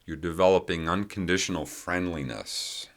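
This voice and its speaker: A man leading a guided meditation with gentle, unhurried instructions and long pauses between phrases. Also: sits low.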